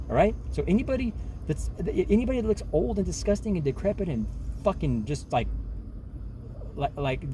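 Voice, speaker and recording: A man's voice: high voice, mellow, slight background noise. Rambling emphatic man in his forties. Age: 40s. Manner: rambling, many restarts, emphatic, says "man" often. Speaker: self-help vlogger. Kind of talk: rambling casual monologue.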